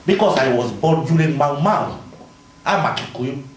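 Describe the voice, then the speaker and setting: A man's voice: fairly high pitch, lively intonation, slight background noise. An older man from Nyeri in Kenya, asking an impassioned question from the audience. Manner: slow and impassioned. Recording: echoey hall, distant mic.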